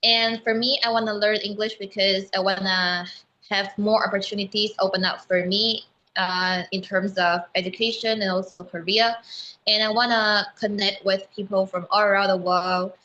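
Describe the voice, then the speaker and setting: A young woman from Malaysia, Mandarin her first language, speaks in a bright, clear voice. She answers in a measured, earnest way with a few hesitations. The video call audio is a bit dull and muffled. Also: mid-range voice, flat delivery. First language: Mandarin Chinese. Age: young adult. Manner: earnest, measured, with frequent ums. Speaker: guest. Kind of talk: casual video call interview.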